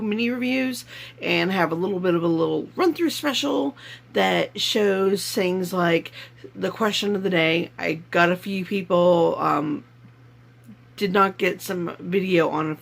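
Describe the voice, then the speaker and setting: A man with a slightly hoarse voice hosting his vlog in a rambling, casual way, with many fillers. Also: high voice, a bit bright. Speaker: vlog host.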